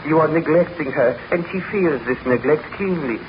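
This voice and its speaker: An actor playing an earnest, cautious friend, formal and grave, in a 1930s radio drama style. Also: male voice, fairly high pitch, moderate pace, natural voice. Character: earnest, cautious, disapproving male friend of the scientist. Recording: muffled, noisy old radio recording.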